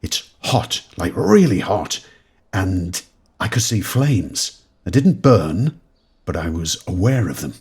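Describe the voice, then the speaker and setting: A rattled, wry adventurer with a deep, very husky voice. He muses haltingly and sweary in audio drama style, taking his time while his pitch roams widely. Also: very animated, darkish tone, exaggerated voice. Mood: awed, unsettled. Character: rattled man who has crossed between eras, wry, sweary. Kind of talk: modern audio drama monologue.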